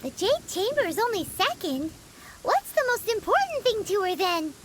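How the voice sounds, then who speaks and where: Here is a chatty little fairy girl from a video game. The voice sits extremely high and leaps up and down with her excitable, nosy chatter. She names herself in the third person, and the game audio has a clear hiss of noise behind her. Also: moderate pace. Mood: gossipy.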